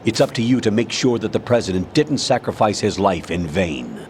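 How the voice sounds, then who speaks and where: A man playing an older colonel who commands missions in a video game, formal, measured and authoritative. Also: on the low side, very animated, a little mellow, a little hiss or hum. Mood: urgent, commanding. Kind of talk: dramatic video game voice acting.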